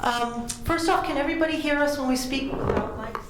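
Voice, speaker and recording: A woman moderating a conference panel, introducing speakers briskly with some fillers. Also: high voice. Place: large conference room. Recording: echoey room, faint noise.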